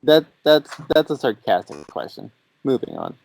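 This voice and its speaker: A man's voice: mid-range voice, very animated, normal speed, creaky, darkish tone, no room sound, soft background music, low-quality recording. A twenty-year-old man chatting casually as a podcast host, with a joking, teasing way of asking questions. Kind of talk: casual chat.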